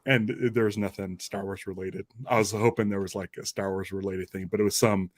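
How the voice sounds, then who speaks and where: A man's voice: deep voice, little pitch movement, fairly quick. A man hosting a podcast in casual, informal chat, telling things loosely and conversationally. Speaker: podcast host.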